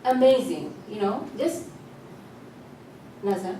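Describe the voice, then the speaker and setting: A woman instructor explaining and demonstrating gestures to a class in an animated way. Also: medium pitch, slow, slight background noise. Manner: animated, explanatory, uses you know often. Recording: very echoey room from far off.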